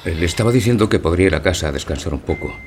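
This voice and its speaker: A man's voice: sits low, lively intonation, very fast, darkish tone, a little hiss or hum. An actor playing a worried, subdued father in a radio drama, speaking tersely and soothingly. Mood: quietly reassuring. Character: worried father of a hospitalised boy, quietly reassuring.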